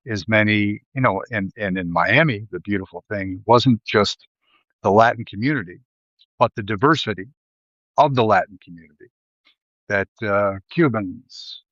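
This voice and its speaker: A man's voice: on the low side, fairly bright tone, no echo, muffled recording. A man from Ohio with a Midwestern accent, hosting a live video and talking in a rambling, digressive way with many fillers and restarts. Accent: Midwestern American. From Ohio, USA. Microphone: live stream mic.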